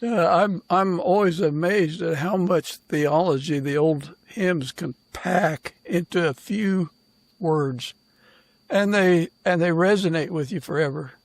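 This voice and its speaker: A man's voice: on the high side, slow pace, no echo. A man hosting a podcast interview, asking questions in a casual conversational style. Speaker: podcast host.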